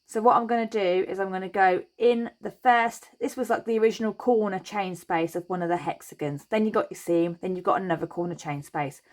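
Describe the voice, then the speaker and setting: A woman hosting a crochet tutorial, chatty and reassuring as she talks through each step, her voice a little croaky. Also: medium pitch, a little fast, few pauses. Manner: chatty and reassuring, thinks aloud while working. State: slightly croaky voice.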